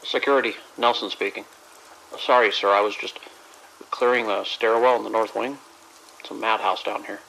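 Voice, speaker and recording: An actor playing a flustered, apologetic hospital security guard in an audio drama. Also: male voice, lowish voice, fairly even intonation, normal speed, vocal fry, long pauses, sharp tone, poor audio. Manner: apologetic, harried.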